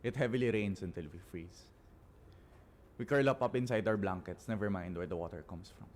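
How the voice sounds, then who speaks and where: A man with a Filipino accent performing spoken word poetry as a guest, in deliberate, dramatic phrases with weighted pauses. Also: lowish voice. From Philippines.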